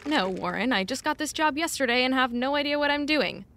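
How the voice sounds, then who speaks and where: An actress playing a blunt, exasperated but caring personal assistant in naturalistic audio drama, wry and no-nonsense. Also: female voice, high voice, expressive, a little fast, background noise. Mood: wry, bossy. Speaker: actor.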